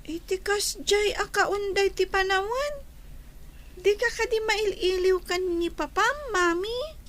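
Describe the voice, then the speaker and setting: A very high, small voice as an innocent young child in a radio drama. The delivery is slow and plaintive, full of earnest questions, with an Ilocano accent. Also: female voice, fairly bright tone, full character voice. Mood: pleading, wondering. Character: curious young child missing extended family, earnest and innocent.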